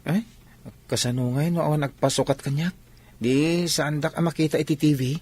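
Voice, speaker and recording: A humble young man new to sport, voiced by an actor in a Filipino radio-style drama. His voice is rough and husky, his delivery hesitant and modest. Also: fairly high pitch, steady tone, moderate pace, darkish tone. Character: humble, nervous young male novice basketball player.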